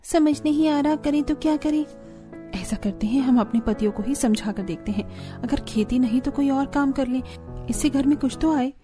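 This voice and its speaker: A woman's voice: sits high, moderate pace, music in the background, lightly acted. A woman voicing an earnest, dutiful young daughter-in-law, caring but firm, in a cartoon story. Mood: concerned. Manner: earnest, pleading. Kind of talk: Hindi cartoon story voice acting.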